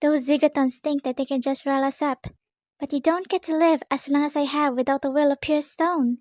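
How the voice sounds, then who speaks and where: A woman's voice: high voice, fairly even intonation, brisk, a little mellow, no echo, clean studio sound, lightly acted. A woman voicing a proud, resolute, long-lived priestess in a cartoon comic dub, with formal and stately speech. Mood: composed, resolute. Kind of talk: animated comic dub acting.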